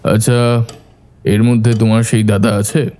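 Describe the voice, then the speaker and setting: A man playing a calm, astute detective mentor in a cartoon, explaining things in a measured, deliberate way. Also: lowish voice, a little hiss or hum, lightly acted. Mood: assured, analytical. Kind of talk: Bengali cartoon detective dialogue.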